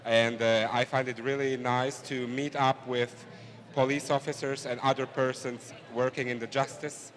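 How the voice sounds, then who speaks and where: A man who grew up in Switzerland and works as a police officer answers interview questions calmly and plainly. His voice is mid-pitched, and it carries a long reverb tail. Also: normal speed. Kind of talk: interview answers, conference delegate.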